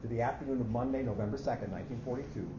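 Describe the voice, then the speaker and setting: A man's voice: medium pitch, rapid-fire, lightly acted. A man playing a fussy, precise office clerk who coolly plots murder, speaking formally in a staged story reading. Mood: aggrieved. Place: echoey hall at a live reading. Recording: muffled, noisy, echoey hall recording.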